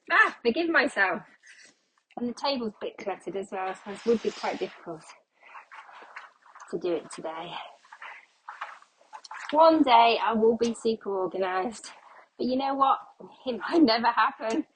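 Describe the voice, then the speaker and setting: A middle-aged woman chats away while she mops, thinking aloud and poking fun at herself. She sounds a little out of breath and slightly congested, with her voice starting to go. Her voice sits fairly high, with a faint hum of noise in the room. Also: poor audio. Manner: chatty, self-deprecating, thinks aloud. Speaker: cleaning vlogger. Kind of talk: casual chat while cleaning. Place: home kitchen while mopping.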